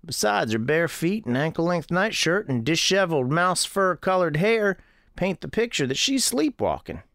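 An actor playing an elderly country storekeeper, narrating an audio drama in a folksy, deliberate, musing way. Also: male voice, a little high, very animated, fairly bright tone, dry recording, studio quality. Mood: hushed, watchful. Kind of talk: first-person scripted narration, audio drama.

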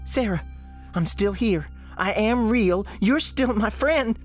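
A woman's voice: mid-range voice, expressive, takes it slowly, soft background music. A woman playing an earnest, wistful young girl, an imaginary friend, in a radio drama. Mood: pleading, tender.

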